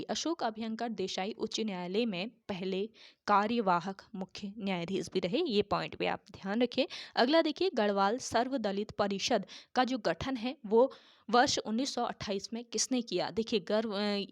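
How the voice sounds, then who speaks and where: A woman tutor with a sore throat teaching an exam-prep lesson, repeating each key answer slowly so students can note it down. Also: mid-range voice, no echo, studio quality.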